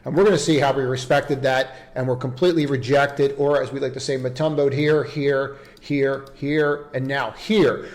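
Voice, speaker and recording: A man's voice: mid-range voice, fairly even intonation, normal speed. Man talking through trading charts informally. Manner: informal, instructive, repeats phrases for emphasis. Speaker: stock trading video host and coach. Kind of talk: unscripted market chart commentary.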